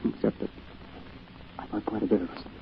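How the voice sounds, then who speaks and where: An American actor playing a young orphaned sailor, earnest, shy and polite, speaking hesitantly in 1940s radio drama style. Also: male voice, fairly low pitch, flat delivery, a little fast, creaky. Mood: subdued, grieving. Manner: hesitant, humble, folksy. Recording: tinny, noisy old radio broadcast.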